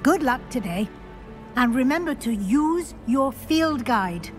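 A brisk, stern professor. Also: female voice, sits high, animated. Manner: crisp, brisk and no-nonsense. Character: brisk older female professor, stern and proper. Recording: game cutscene with music behind.

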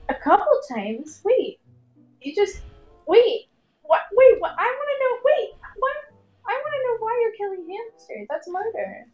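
A woman's voice: very high-pitched, wildly swooping pitch, measured pace, darkish tone. A young woman in casual gaming voice chat, flustered and exasperated, often repeating herself. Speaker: game server moderator. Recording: roomy echo, music behind.

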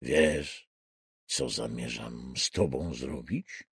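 A man voicing a tired, low, gruff male intruder who poses as a policeman in a thriller audiobook. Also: takes it slowly, no echo, full character voice. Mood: quiet menace. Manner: insistent, tired, calmly threatening. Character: low, weary, rough-voiced male intruder posing as policeman, menacing.

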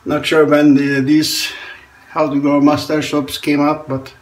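A man's voice: a little high, completely flat delivery, measured pace, rough recording. A man in his forties from Norway with a Norwegian accent, chatting casually in a small bathroom while he shaves, chuckling often between remarks. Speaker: shaving vlogger. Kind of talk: casual chat while shaving. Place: small tiled bathroom indoors. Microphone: camera in front at close range.

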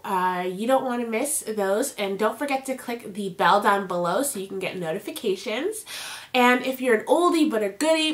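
A woman's voice: medium pitch, a bit bright. A young woman speaks close to the camera in a casual beauty vlog, her voice thick and nasal from congestion. She keeps it chatty and upbeat, sprinkling in fillers as she walks through each product. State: congested, nasal from a sinus infection. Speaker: beauty vlogger. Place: indoor home filming setup. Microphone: camera at close range, facing the camera.

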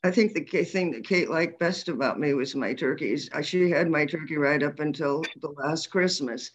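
A woman's voice: low-pitched, normal speed, slightly rough. Woman chatting casually, slightly dull call audio. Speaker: former member of a women's arts colony. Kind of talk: casual chat on a group video call. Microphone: video call.

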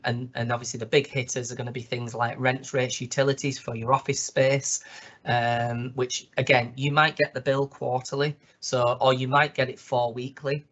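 A slightly hoarse man, walking through a spreadsheet on a screen share with many ums and restarts. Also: medium pitch, a bit bright, lo-fi sound. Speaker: consultant presenting a toolkit.

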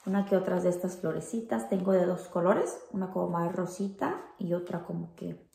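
Home-decor vlogger, woman, relaxed and chatty, lots of little fillers, slight fry in the voice. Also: lowish voice, moderate pace. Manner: chatty, full of diminutives and fillers. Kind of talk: casual how-to chat. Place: at home indoors.

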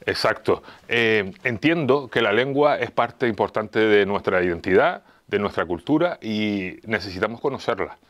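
A fifty-year-old man with a Canarian Spanish accent, explaining things as an interview guest, haltingly, searching for words and repeating phrases. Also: fairly low pitch, animated, fairly bright tone. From Las Palmas, Canary Islands. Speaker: interview guest, local police officer and heritage enthusiast. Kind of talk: interview answers, explanatory talk.

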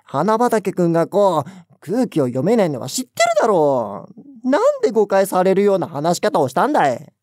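A man's voice: sits high, sing-song, moderate pace, hardly any pauses, a little mellow, dry recording, studio quality. An actor playing a stern middle-aged male section manager who scolds his employee in a paternal way. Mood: reproachful. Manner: scolding, paternal boss talk.

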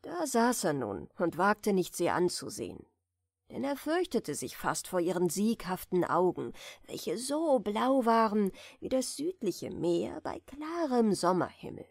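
A woman reading a fairy tale aloud as a bedtime audiobook, softly and soothingly, in a deliberately gentle manner. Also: medium pitch, very animated, vocal fry, no room sound, clean studio sound. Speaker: audiobook reader.

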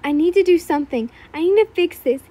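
A woman's voice: extremely high pitch, animated, a little fast, mellow, pitched above the performer's own voice. A woman voicing a desperate, obsessive young man who cries and pleads frantically. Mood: frantic muttering.

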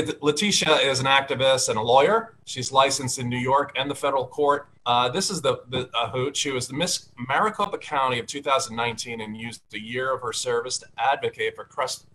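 A man's voice: medium pitch, bright, forceful tone, rough recording. An upbeat, chatty man hosts a casual talk show over a webcam from a home study with bookshelves. He rambles with plenty of fillers and friendly asides. A faint background hiss sits under his mid-range voice. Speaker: host and candidate. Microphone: video call webcam.

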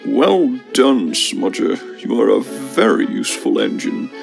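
A man's voice: mid-range voice, wildly swooping pitch, unhurried, vocal fry, over music, exaggerated voice. A man voicing a courteous, earnest, formal steam engine in a cartoon. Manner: polite, earnest.

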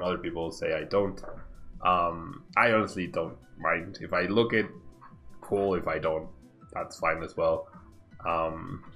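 A man's voice: sits low, creaky, fairly bright tone. A man of thirty-one doing casual, slangy livestream game commentary, laid-back and often repeating his phrases. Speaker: game streamer.

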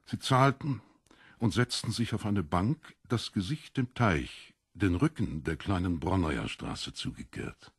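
Ein Mann liest als Hörbucherzähler einen literarischen Text, mit rauer Stimme und bedachter, dramatischer Phrasierung. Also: male voice, deep voice, expressive, a little slow, breathy edge, no echo. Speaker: audiobook narrator. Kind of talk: scripted literary narration.

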